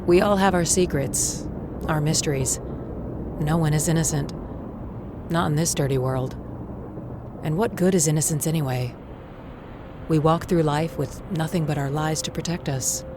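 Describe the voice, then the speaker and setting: A woman's voice: low-pitched, normal speed, pauses often, noisy background. A woman reading a scripted first-person dramatic story in a world-weary, cynical manner. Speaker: fiction reader. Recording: prominent music behind voice.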